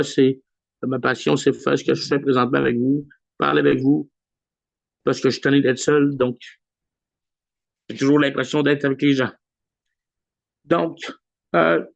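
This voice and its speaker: A man with a Québécois accent hosting a weekly video call in a relaxed way, with many pauses and filler sounds. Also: mid-range voice, vocal fry, no echo. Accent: Québécois French. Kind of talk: casual live address on a video call. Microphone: computer mic on a video call. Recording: dull lo-fi video call audio.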